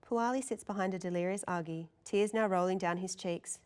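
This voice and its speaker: A woman's voice: mid-range voice, brisk, smooth. A woman reading scripted narration and stage directions as narrator at a table read.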